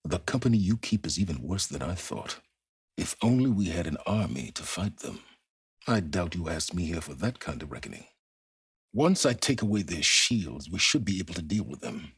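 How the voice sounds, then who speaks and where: An actor playing an arrogant, secretive older scholar in a video game, gravelly and pompous, with deliberate, condescending formal phrasing. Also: male voice, deep voice, big pitch swings, no echo, full character voice. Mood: condescending, dry. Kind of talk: video game dramatic acting.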